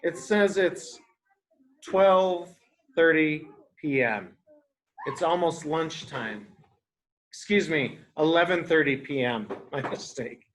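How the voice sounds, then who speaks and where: A man's voice: fairly high pitch, slow, pauses often, a bit bright. A man reading a picture book aloud to young children as a teacher, explaining things simply and asking them questions.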